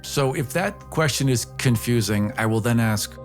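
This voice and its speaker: A man's voice: mid-range voice, lively intonation, moderate pace, faint music behind. A man chatting casually as a player in a tabletop role-playing game, thinking through his character's actions.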